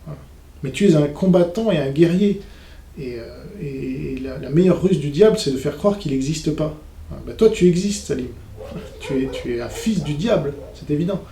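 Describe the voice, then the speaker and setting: A man's voice: a little high, lively intonation, normal speed, gravelly, mellow, slight background noise. A man giving off-the-cuff polemical commentary in a sarcastic, scornful manner, mimicking the people he criticises. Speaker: commentator reacting to a conference video. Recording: roomy, echoing room sound.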